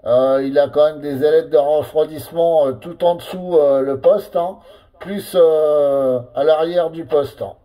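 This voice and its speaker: A man operating a CB radio, chatting casually with lots of pauses and filler words. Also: fairly high pitch, fairly even intonation, warm tone. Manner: hesitant, full of fillers and tags.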